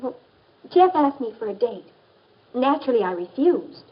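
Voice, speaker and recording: A self-assured American teenage girl from a 1950s instructional film. She speaks in a fairly high voice with a confident, advising manner. The vintage track sounds dull. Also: very animated, normal speed. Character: assured teenage girlfriend pushing advice on her friend. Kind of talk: 1950s educational film acting.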